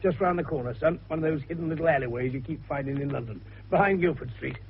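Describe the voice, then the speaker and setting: Creaky, avuncular old detective doctor. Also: male voice, fairly high pitch, fast talker. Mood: musing, genial. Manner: avuncular, teasing, rhetorical questioning. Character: shrewd, jovial, avuncular older male amateur detective doctor. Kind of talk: British radio drama acting. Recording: dull lo-fi radio recording with hiss.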